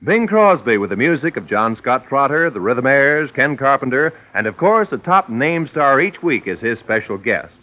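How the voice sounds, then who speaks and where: American guy doing old time radio announcing, polished and animated, muffled old recording. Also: medium pitch, normal speed, few pauses. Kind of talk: polished scripted 1940s-50s radio announcing.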